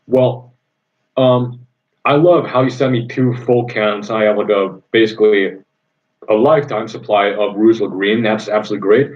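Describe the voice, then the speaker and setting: Young man from Indonesia, low voice, rambling casual chat, lots of ums, dull lo-fi recording. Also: steady tone, normal speed. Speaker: livestream host answering viewer questions. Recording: dull lo-fi sound.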